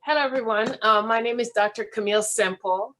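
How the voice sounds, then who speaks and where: A middle-aged woman with a Guyanese accent, a physician introducing herself on an online panel in a relaxed, chatty way. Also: fairly high pitch, fairly quick, a little hiss or hum, rough recording. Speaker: physician guest.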